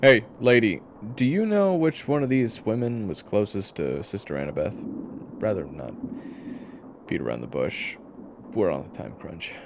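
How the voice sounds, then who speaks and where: A man playing a gruff, wry monster hunter with a blunt, sarcastic manner in an audio drama. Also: lowish voice, expressive, normal speed, noisy background. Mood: brusque. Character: gruff, wry, impatient male monster hunter named Raven. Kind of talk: audio roleplay character acting. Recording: echoey hall reverb, music behind.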